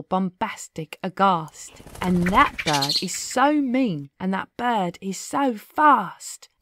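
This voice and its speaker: A woman narrating a rhyming children's story aloud in an expressive, deliberate, rhythmic way. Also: a little high, a little hiss or hum. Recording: music behind the voice. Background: music.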